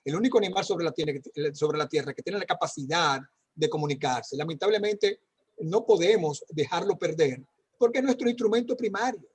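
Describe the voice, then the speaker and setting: Doctor guy on a video call, slightly high husky voice, lots of "eh" and tag questions. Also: a little fast. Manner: conversational, many fillers and tag questions. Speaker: guest doctor answering parents' questions. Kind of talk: online talk with questions.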